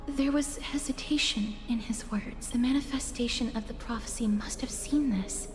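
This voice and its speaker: Soft, solemn young priestess voiced by a woman, reverberant. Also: a little high, normal speed, natural voice. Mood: worried, prayerful. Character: gentle, solemn young priestess, worried and devout. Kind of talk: English video game voice acting. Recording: long reverb, clear music behind.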